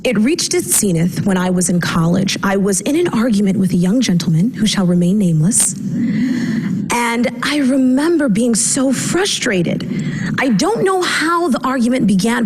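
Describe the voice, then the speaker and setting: Young woman speaker on stage, slightly high voice, storytelling with shifting pace, faint hall noise. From United States. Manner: storytelling with changing pace and pauses. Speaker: speaker giving a talk. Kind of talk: prepared personal talk on stage. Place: large auditorium stage.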